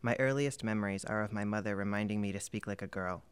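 A man with a somewhat low, smooth voice reads a first-person memoir. He tells the story in a calm, steady way. His tone stays clean and resonant throughout. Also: fast talker. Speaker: reader.

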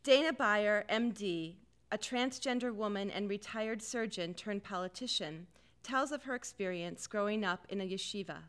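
Female narrator, mid pitch, smooth tone, formal introductions. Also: normal speed, fairly bright tone. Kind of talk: scripted documentary narration.

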